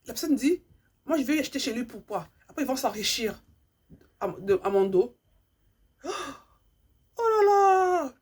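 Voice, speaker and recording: Husky-voiced woman. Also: high-pitched, sing-song, measured pace, long pauses, a little mellow. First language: Lingala. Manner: earnest, repeats herself, hunts for words. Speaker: vlogger. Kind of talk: impassioned monologue to subscribers.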